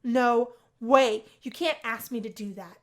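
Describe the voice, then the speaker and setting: A shy and bookish young college student with a fairly high voice, acted out by a woman. She is the earnest heroine of a visual novel. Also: a little slow, lightly acted. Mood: distressed.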